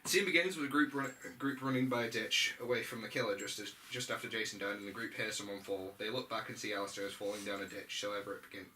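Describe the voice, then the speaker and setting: A man's voice: lowish voice, fast, hardly any pauses, sharp tone. A man reading stage directions aloud at an amateur script read-through, sometimes stumbling over the text. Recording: distant mic, faint room noise.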